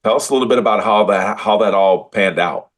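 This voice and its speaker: A man's voice: lowish voice, a little fast. A man hosting a podcast interview, asking rambling, hesitant questions full of fillers. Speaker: podcast host.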